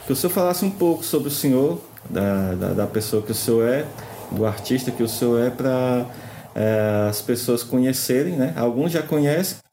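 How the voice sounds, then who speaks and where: Warm-voiced Brazilian podcast host. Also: male voice, medium pitch, normal speed, slight background noise. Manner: hesitant, with fillers and "né?" tags. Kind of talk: casual podcast interview.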